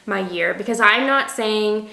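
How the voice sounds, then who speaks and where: A woman's voice: medium pitch, measured pace, gravelly, sharp tone. A woman vlogger talking candidly and emotionally to her viewers, with frequent "like" fillers. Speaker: lifestyle vlogger, business owner. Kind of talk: candid personal vlog, talking to camera. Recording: echoey room.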